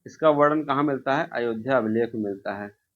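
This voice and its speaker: A man's voice: medium pitch, a little fast. Male exam tutor, dull lo-fi sound. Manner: repeats key answers, asks and answers own questions. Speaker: exam-prep teacher. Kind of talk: informal quiz-review lecture, question then answer.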